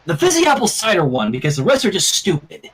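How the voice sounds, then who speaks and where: A person reading a story aloud in an animated style, slipping into character voices. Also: medium pitch, fast, husky, low-quality recording. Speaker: fan fiction reader.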